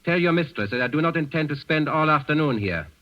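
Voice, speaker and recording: An actor playing an arrogant, insinuating Nazi German governor in a 1940s radio drama. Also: male voice, fairly high pitch, lively intonation, fast talker, natural voice. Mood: coolly menacing. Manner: imperious, insinuating, condescending. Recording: old muffled radio recording.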